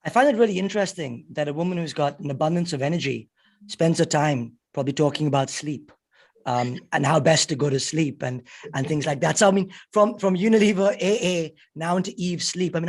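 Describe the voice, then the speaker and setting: A man co-hosting a podcast with a croaky voice, chatting and asking questions. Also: on the high side, brisk. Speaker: podcast co-host.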